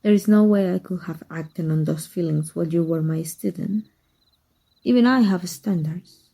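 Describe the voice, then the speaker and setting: A woman voice actor playing a tender, teasing evil magic teacher in an intimate ASMR roleplay, slow and hushed with a husky, breathy voice. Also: fairly low pitch. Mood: flattered, coy. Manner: slow, hushed, deliberate, seductive.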